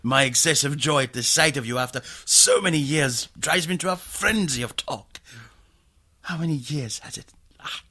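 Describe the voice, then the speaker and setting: A sickly, high-strung nobleman in a radio play. His voice is sharp and rough-edged, and he speaks in a theatrical, overwrought way, as if his nerves were frayed. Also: lively intonation, normal speed. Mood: excited, apologetic. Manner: theatrical, overwrought, swings from rapture to gloom. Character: ailing, nervous, morbidly sensitive aristocrat, given to frenzy. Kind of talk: radio drama acting.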